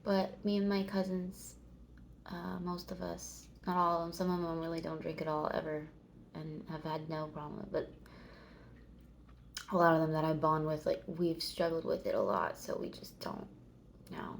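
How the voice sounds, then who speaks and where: A woman's voice: deep voice, steady tone, normal speed, vocal fry, a little hiss or hum. A woman in her thirties chatting casually to the camera, rambling and meandering, swearing now and then. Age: 30s. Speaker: vlogger.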